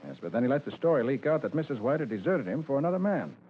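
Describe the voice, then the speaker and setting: An actor playing a confident, methodical private detective in an old radio drama, explaining with assurance. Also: male voice, mid-range voice, very animated, rapid-fire, lightly acted. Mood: assured, explanatory. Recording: dull, noisy old radio recording.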